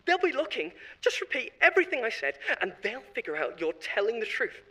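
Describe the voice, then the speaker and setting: Man doing a self-serving corporate marketer, panicky, wheedling, high bright voice, swooping pitch. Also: big pitch swings, brisk, full character voice. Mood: defensive. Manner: wheedling, defensive, nervous laughter. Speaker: voice actor. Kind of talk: audio drama monologue.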